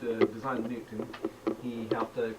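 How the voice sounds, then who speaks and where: A middle-aged man showing his childhood toy scenes on home video, rambling and excited with frequent restarts. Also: mid-range voice, moderate pace. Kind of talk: casual home-video show-and-tell. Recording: distant home-video mic with noise.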